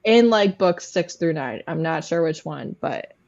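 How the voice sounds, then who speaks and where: Low-pitched woman, dull lo-fi audio. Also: lively intonation, measured pace, a little mellow. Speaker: book-chat livestream participant. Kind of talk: casual chat.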